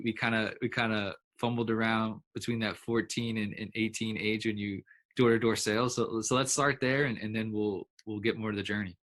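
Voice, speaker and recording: A man's voice: on the low side, fairly bright tone. A man hosting a podcast, chatting loosely and restarting his sentences as he sets up the interview. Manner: loose, rambling, many restarts. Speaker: podcast host. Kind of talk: casual interview chat.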